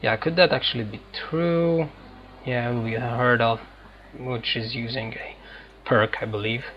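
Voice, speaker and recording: A man hosting a role-playing game video, giving unscripted, reflective commentary in a halting way. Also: mid-range voice, fairly even intonation, slight background noise. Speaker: gaming video host. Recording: slightly dull audio, faint game music. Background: faint game music.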